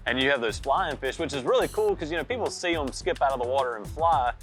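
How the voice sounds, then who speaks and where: A man's voice: a little high, animated, fast, a bit bright, faint music behind. A man hosting a fishing show, recapping the trip in a casual, storytelling way and teasing the others. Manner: storytelling, teasing, with a Southern "y'all".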